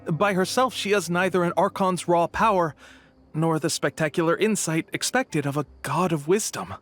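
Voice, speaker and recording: Man acting an earnest, high-voiced analytical young man. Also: moderate pace, a bit bright, natural voice. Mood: grave explaining. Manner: articulate, explanatory. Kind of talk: English video game dub.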